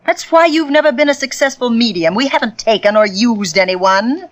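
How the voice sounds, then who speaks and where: A woman's voice: fairly high pitch, animated, normal speed, close to an everyday voice. A woman playing Adelaide, a cold scheming medium. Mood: domineering. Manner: cold, cutting, domineering. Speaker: fake spiritualist medium. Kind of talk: 1940s radio drama acting.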